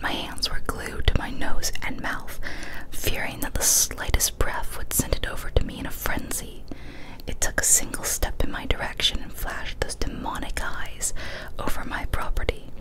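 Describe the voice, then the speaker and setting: A young adult woman reads a horror story as ASMR in a breathy whisper, careful and deliberate, close to a studio microphone. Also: rough recording. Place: small cozy indoor room. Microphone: close studio condenser mic on a boom arm.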